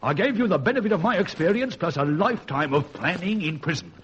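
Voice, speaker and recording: An actor playing a cold, ruthless, middle-aged British ex-convict and master thief in a vintage radio crime drama, commanding and scornful in an articulate way. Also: male voice, high-pitched, expressive, fast. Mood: furious, scornful. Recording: dull, noisy old radio recording.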